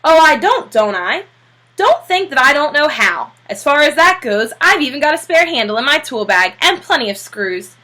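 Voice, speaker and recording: A woman's voice: high-pitched, big pitch swings, moderate pace, pushed and bright, pitched above the performer's own voice, exaggerated voice. A woman voicing an argumentative, skeptical male repairman in a storybook, with folksy grammar and an exasperated, sarcastic manner. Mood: indignant.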